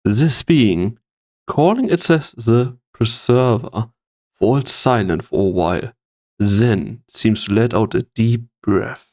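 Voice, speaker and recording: A man's voice: mid-range voice, slow pace, lightly acted. A man narrating a scripted story. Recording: loud music behind. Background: loud music.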